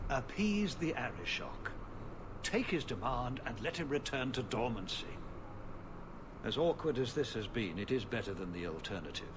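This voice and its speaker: A weary, troubled city ruler from a fantasy game, played by a man. He speaks in formal, measured phrases at a middle pitch, exasperated by his burdens. Clear noise runs behind the voice. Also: sing-song, close to an everyday voice. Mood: weary, resigned. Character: weary, exasperated city viscount, proud but troubled father. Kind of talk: dramatic video game dialogue.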